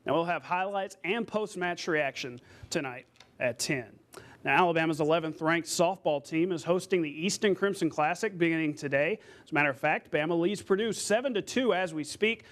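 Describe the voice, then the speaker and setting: A man's voice: a little high, normal speed, a bit bright. An American man anchoring a local TV sportscast, reading scripted news with playful, conversational asides.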